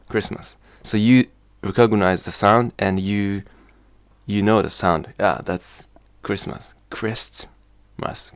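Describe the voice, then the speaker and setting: A man with a Japanese accent, explaining in a hesitant, halting vlog way and slowly sounding out example words. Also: sits low, no room sound. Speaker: vlogger. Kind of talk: casual spoken explanation to camera.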